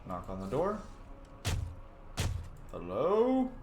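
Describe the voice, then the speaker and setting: A man's voice: medium pitch, wildly swooping pitch, very slow, echoey room, noisy background. A man playing through a fantasy roleplay game, reading his player lines aloud in a playful, casual way. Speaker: roleplay gamer.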